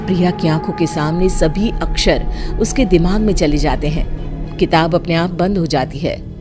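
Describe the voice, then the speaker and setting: A woman narrates a scripted tale as storyteller. Her voice is on the low side for a woman. Music plays clearly behind her. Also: moderate pace.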